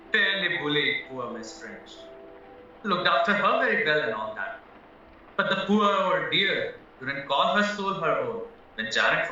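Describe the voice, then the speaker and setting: A man acts out a young murder suspect in an audio drama, frank but flustered, hesitating and protesting earnestly. His high voice swings animatedly. It sounds distant and very echoey, with music behind. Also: sharp tone, muffled recording, exaggerated voice. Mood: candid, explaining. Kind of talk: stage-style courtroom audio drama acting.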